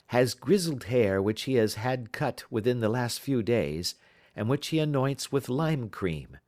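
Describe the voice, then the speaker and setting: Audiobook detective performed by a male reader: self-assured, precise, teasing, pompous, with very animated intonation. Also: mid-range voice, lightly acted. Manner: crisp, lecturing, pompous. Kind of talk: audiobook character dialogue.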